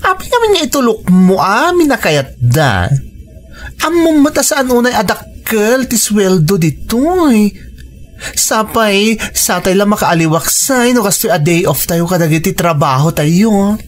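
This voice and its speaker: An actress in a radio drama playing a sassy, frank friend, chiding with drawn-out emphasis. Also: female voice, mid-range voice, very animated. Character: sassy, frank female friend and co-worker abroad.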